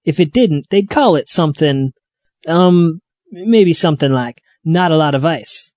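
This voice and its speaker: A man's voice: a little high, lively intonation, a little slow, darkish tone, dry recording, muffled recording. A man playing a cheerfully clueless, self-satisfied president, folksy and breezy in a comedic parody interview.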